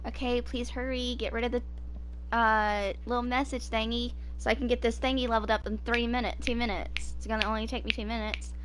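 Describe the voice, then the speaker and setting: A woman's voice: fairly high pitch, flat delivery, a little fast, slight background noise. A woman in her twenties chatting casually as she live-streams a game, thinking aloud in an informal way. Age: 20s. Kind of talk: casual live gameplay chat.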